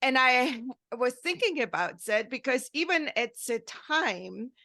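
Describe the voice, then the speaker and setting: A woman's voice: sits high, sing-song, unhurried, sharp tone. A woman from Germany with a German accent, telling a story in earnest, rambling podcast talk and often checking in with "right?". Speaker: podcast host.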